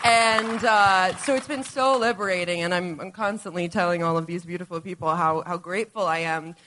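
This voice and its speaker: A woman's voice: lowish voice, moderate pace, sharp tone, slight background noise, rough recording. A woman answering on a panel, speaking earnestly and intensely about playing a role. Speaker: actor on a panel.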